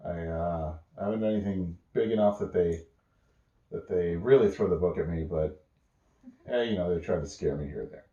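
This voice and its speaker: A man voicing a cagey, boastful petty thief in a bedtime story, talking in a casual, halting, guarded way. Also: low voice, dark tone, muffled recording, lightly acted. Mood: cagey, confiding. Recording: roomy, echoey home recording.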